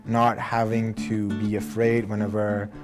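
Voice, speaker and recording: A man's voice: fairly low pitch, monotone, over music. A young man from Iran with a Persian accent, speaking earnestly and thoughtfully about his own life in a documentary interview, sometimes restarting his sentences. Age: young adult. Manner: earnest, measured, with self-corrections. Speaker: documentary subject. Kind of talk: reflective personal interview.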